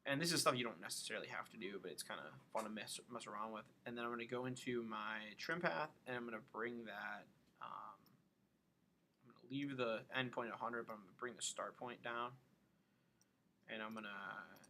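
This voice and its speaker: A man giving a casual software tutorial, thinking aloud informally as he works through each step. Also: lowish voice, a little fast, slight background noise.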